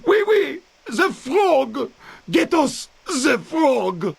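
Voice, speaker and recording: High, squeaky French brother, fretful and whiny, breathy and creaky, swooping wildly through his lines, slow and drawn out. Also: very animated, exaggerated voice. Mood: excited. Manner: whiny and overexcited, drawn-out words. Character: shrill fretful French man, a squabbling brother. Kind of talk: video game cutscene acting.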